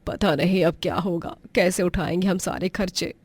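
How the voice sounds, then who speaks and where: A woman's voice: fairly low pitch, moderate pace, breathy edge, no room sound. A woman playing a homely, outspoken elderly mother-in-law in a cartoon story. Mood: worried.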